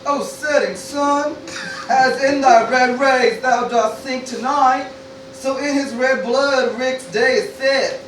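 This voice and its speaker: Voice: sits high, fairly even intonation, slow, a bit bright. An actor playing a mournful, earnest little creature messenger, declaiming melodramatically in an amateur Shakespeare radio parody. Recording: very echoey, distant mic, background noise.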